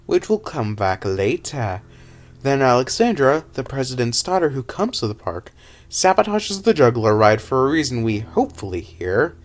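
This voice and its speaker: Animated man rambling through a movie analysis. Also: mid-range voice. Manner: animated, exasperated, rambling with self-corrections. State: recovering voice. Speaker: video essayist.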